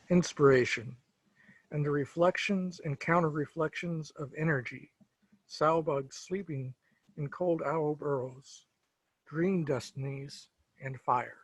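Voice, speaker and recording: A man giving a measured poetry reading over a video call. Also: fairly high pitch, breathy edge, no room sound, low-quality recording. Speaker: poetry reader.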